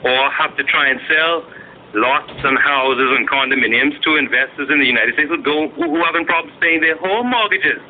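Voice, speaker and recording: A man speaking as a party spokesman in a radio political interview, in a measured, formal, argumentative and hesitant way. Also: fairly high pitch. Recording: noisy, narrow phone-like radio audio.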